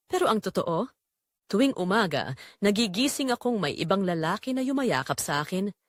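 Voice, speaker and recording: A woman's voice: mid-range voice, sing-song, normal speed, dry recording, studio quality. A woman with a Filipino accent reading a first-person scripted drama in a confessional, storytelling manner. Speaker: audio drama narrator.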